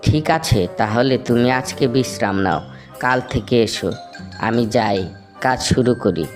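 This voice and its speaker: A man's voice: mid-range voice, moderate pace, faint music behind, lightly acted. A man voicing an honest, hardworking, trusting small bird in a cartoon fable, earnest and polite. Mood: friendly, earnest.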